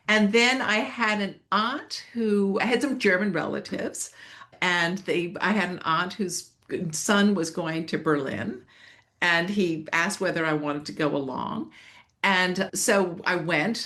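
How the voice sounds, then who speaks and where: A woman's voice: on the low side, a bit bright. An older woman from Switzerland, a scholar guest in an interview, telling stories in a rambling, self-correcting way and laughing often. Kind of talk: reflective interview storytelling.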